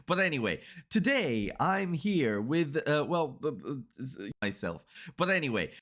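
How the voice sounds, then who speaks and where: A man's voice: a little high, very animated, unhurried, fairly bright tone. Sleepy, nasal-voiced male host with a cold, rambling intro full of fillers. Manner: rambling, repeats himself, many fillers. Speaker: podcast host. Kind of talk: podcast intro and interview.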